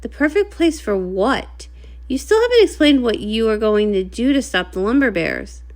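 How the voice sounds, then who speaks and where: A woman's voice: on the high side, big pitch swings, moderate pace, darkish tone. A woman voicing a commanding, no-nonsense female pony guard captain in a storybook, brusque and skeptical. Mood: impatient, skeptical.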